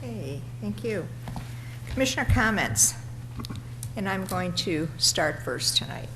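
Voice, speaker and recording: A woman with a raspy voice chairing a city commission meeting in an informal, chatty way. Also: mid-range voice, animated, slow, background noise. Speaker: presiding commissioner.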